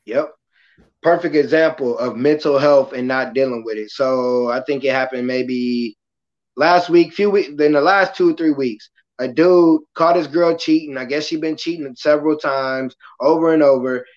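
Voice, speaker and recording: A passionate, loud-voiced man hosting a casual podcast talk, acting out quotes as he goes. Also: mid-range voice, normal speed, muffled recording. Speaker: podcast host; kindergarten school worker.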